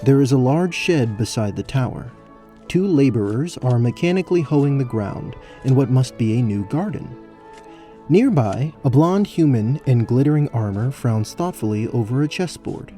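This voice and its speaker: A man's voice: mid-range voice, lively intonation, normal speed, dark tone. A man narrating a tabletop fantasy game as the game master, in a storytelling style. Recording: faint music under the voice. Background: background music.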